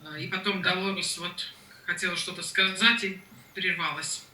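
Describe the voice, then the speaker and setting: A woman's voice: fairly low pitch, steady tone, moderate pace, sharp tone. A woman whose first language is Latvian, interpreting live on a video call, hesitant and full of fillers. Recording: echoey distant video call audio.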